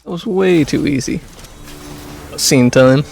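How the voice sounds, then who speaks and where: A man with a gravelly, husky voice doing casual commentary as he streams a game. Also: a little high, takes it slowly, a little mellow. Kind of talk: casual gaming commentary and reading aloud.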